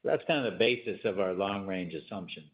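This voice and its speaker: A man answering questions as an executive on an earnings call, explaining in a measured, unscripted way with repeated words. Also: low voice, expressive. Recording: dull, band-limited conference call audio.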